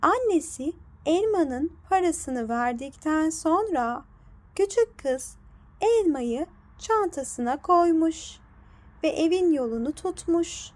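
A woman narrating a scripted bedtime story in a deliberate manner for young children. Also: extremely high pitch, animated.

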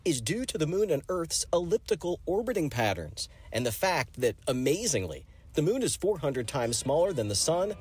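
A man's voice: a little high, sing-song, normal speed, hardly any pauses, darkish tone, husky. Man in his 50s reporting outdoors. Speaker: TV news reporter. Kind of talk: live news report and voice-over narration. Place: outdoors on a large open city lawn.

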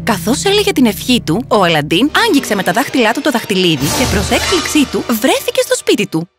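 Animated woman storyteller over music. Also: fairly high pitch. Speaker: narrator. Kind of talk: scripted children's fairy tale narration.

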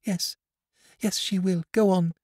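A man's voice: fairly even intonation, takes it slowly, a little mellow, no echo, clean studio sound. A man voicing an anguished blind young woman. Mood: pleading. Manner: pleading, high and strained.

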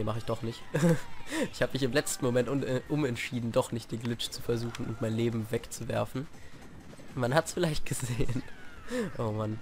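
A young man with a cold, chatting through gameplay as a let's play host, with sudden shouted outbursts, recorded on a sofa at home. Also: mid-range voice. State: has a cold, clears throat. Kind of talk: gameplay commentary. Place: living room at home, on a sofa. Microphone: desk mic propped on games on the sofa. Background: faint game music.

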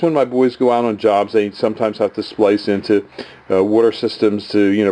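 A man's voice: lowish voice, warm tone. A man who runs a tree farm, talking plainly and folksily about a trade offer, with some fillers. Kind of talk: casual promo talk.

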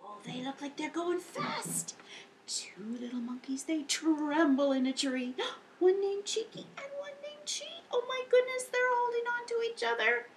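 High, animated woman reading to young children. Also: measured pace, a little hiss or hum, rough recording. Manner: animated, exaggerated, with audible gasps and hushed whispers. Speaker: children's librarian, storytime host. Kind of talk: expressive read-aloud storytime for young children. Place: indoor lounge, seated on a couch.

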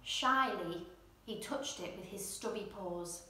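A young woman presenting and reading a children's storybook in an expressive, gentle bedtime style. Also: medium pitch, slow pace. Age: young adult. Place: indoor room at home, seated in armchair. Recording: very echoey room.

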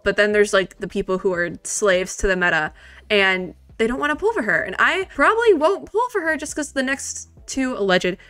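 Excitable woman, dry close sound. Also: mid-range voice, dry recording. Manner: excitable, rambling, heavy use of "like". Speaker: video creator reacting to a game trailer. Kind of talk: casual gushing reaction commentary.

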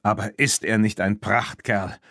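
A man's voice: lowish voice, steady tone, unhurried, sharp tone, dry recording, studio quality. A man voicing a shady, uneasy horse dealer in an audiobook, with a placating salesman's manner. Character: shady male horse dealer, smooth-talking but uneasy.

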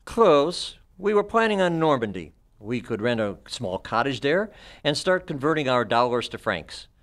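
A man's voice: mid-range voice, big pitch swings, moderate pace, fairly bright tone. An older man playing a threatening, murderous doctor, a cold schemer, in an old-time radio drama read on stage. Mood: smug, cold. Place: on a stage, live performance. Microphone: microphone on a stand.

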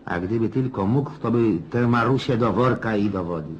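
An actor playing a garrulous, gloomy and pushy old retired Cossack officer in a radio play, rambling in a folksy, insistent way with hums and chuckles. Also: male voice, on the low side, moderate pace, highs cut off, slight background noise, natural voice. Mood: grumbling, wry. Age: older.

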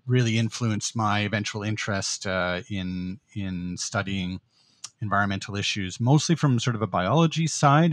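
Middle-aged male podcast co-host, reflective and halting delivery, low-pitched voice, dry close sound. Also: dry recording. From Netherlands. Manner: thoughtful, hesitant with many fillers and restarts. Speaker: podcast co-host, political science professor. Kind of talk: casual conversational podcast.

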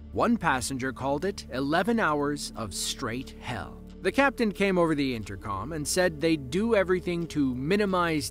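Guy narrating a doc video, bouncy delivery, dry little jokes, music under it. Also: a little high. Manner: lively storytelling with dry asides. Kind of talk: scripted documentary narration. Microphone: voice-over, studio mic. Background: soft background music.

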